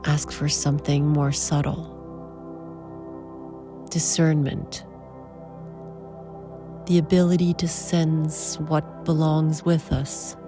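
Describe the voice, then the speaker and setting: Very slow, low-pitched woman guiding meditation. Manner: unhurried, short phrases with long pauses. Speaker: meditation guide. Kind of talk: guided meditation.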